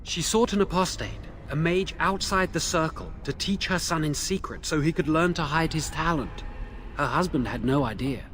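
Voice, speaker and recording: A man's voice: on the high side, moderate pace, fairly bright tone, a little hiss or hum, lightly acted. An actor playing a nervous, remorseful young male mage held in a dungeon, in a video game. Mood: explaining. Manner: anxious, remorseful, pleading.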